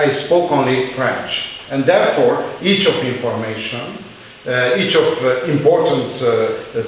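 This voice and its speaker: A man lecturing in halting English with a Hungarian accent, with many fillers and restarts. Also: mid-range voice, a little hiss or hum. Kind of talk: university lecture. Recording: very echoey hall, mic far off.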